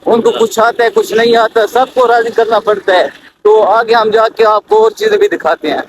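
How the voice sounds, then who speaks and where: A man's voice: extremely high pitch, lively intonation, normal speed. A man with a Saraiki accent from Mianwali, chatting and joking as he walks through an outdoor market for his vlog. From Mianwali, Pakistan. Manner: joking, chatty, bargains with vendors. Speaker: vlogger. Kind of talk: casual vlog walk-through. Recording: noisy outdoor street sound. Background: street and traffic noise.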